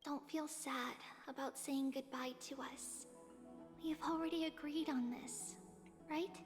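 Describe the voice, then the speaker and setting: A woman playing a gentle, solemn childlike girl in a video game, speaking in a measured, wistful way. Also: high-pitched, steady tone. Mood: solemn, resigned. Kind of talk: scripted video game voice acting. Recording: long reverb, music underneath. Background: game music.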